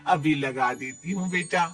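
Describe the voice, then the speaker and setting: Man as indulgent middle-aged mom, highish pitch, coaxing, protective, cartoon story, background music. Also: fairly bright tone. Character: indulgent, soothing middle-aged mother doting on son. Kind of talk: Hindi cartoon moral story acting. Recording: music under the voice.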